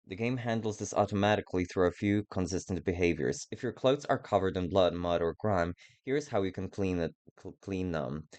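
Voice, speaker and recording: A man's voice: low voice, a little fast, hardly any pauses, a little mellow. A man doing casual, rambling gameplay commentary with playful, self-mockingly silly asides as he streams a video game.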